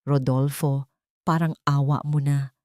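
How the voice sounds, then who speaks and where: A woman's voice: rumbling low, level tone, unhurried, a little mellow, dry recording, studio quality, pitched below the performer's own voice. A woman voicing a desperate betrayed wife and mother who pleads, in an audio story. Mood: desperate pleading.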